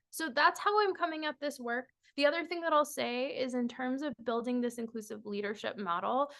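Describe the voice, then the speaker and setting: A woman whose first language is Spanish gives a measured webinar presentation over a video call, her pitch falling at the ends of phrases. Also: a bit bright. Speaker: workshop presenter and consultant.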